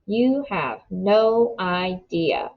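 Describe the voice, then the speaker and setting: A woman reads as a seventeen-year-old storybook boy, easygoing yet guarded. He speaks informally and earnestly, with a very mobile pitch. The sound is a touch dull. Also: animated, drawn-out words, creaky, heavily acted. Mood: teasing.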